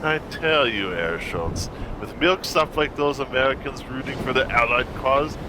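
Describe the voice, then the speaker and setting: A man's voice: medium pitch, normal speed, noisy background, natural voice. A man voicing a menacing, contemptuous German naval officer in a motion comic adventure. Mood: scornful. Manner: contemptuous, commanding.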